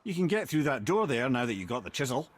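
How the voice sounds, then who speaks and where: An actor with a Scottish accent playing a wise, chatty older guide in a video game, eloquent and wry as a storyteller. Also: male voice, medium pitch, very animated, quick pace. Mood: informative. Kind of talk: cinematic video game acting.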